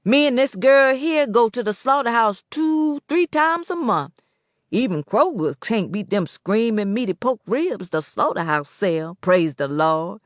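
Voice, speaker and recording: A folksy older southern man with a loud, drawling rural way of talking, as a woman reader puts him on. He grumbles and praises the Lord in the same breath, and the sound is dry and close, with no room around it. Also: on the high side, expressive, a little slow, dry recording, voice pushed up. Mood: grumbling folksy. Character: old southern man, folksy, complaining, churchgoing.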